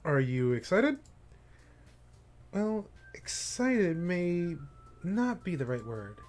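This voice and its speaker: Brief: a man doing a shy, bookish young woman, hushed and hesitant. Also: sits high, very animated, slow, full character voice. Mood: reserved.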